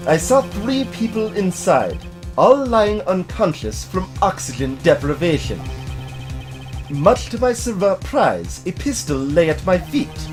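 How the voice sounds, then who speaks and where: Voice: mid-range voice, sing-song, music in the background. A performer voicing a vengeful, unhinged old male prosecutor in a video game, seething and theatrical. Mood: grim, gloating. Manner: theatrical, seething, dramatic drawn-out delivery.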